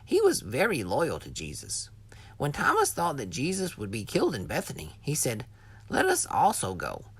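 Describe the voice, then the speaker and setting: A woman's voice: low voice, slightly rough, no room sound. A woman reading scripted educational narration as the narrator of a children's Bible lesson.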